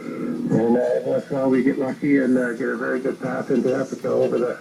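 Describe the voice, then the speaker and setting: Canadian male ham operator, relaxed and gravelly, rambling conversationally over a faintly noisy radio link. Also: medium pitch, fast talker. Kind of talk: casual ham radio contact. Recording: noisy radio transmission captured by phone.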